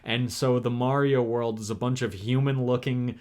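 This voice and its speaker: A man's voice: mid-range voice, fairly even intonation, normal speed, a little mellow. A young man co-hosting a casual podcast chat. He rambles, trips over his words and restarts sentences often. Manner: rambling, full of fillers and restarts, chatty. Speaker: podcast co-host.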